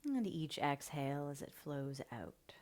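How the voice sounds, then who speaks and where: A woman leading a guided breathing meditation, speaking softly and slowly, often half-whispering. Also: deep voice, very animated. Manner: slow, soft and soothing, often half-whispered.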